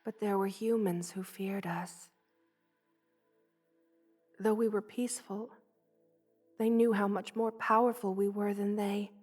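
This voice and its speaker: A woman voicing a gentle, loving, motherly spider queen in a soft, hushed ASMR roleplay, tender and wistful, often slipping toward a whisper. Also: medium pitch, fairly even intonation, a little slow, pauses often, soft background music, lightly acted. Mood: sorrowful, hushed.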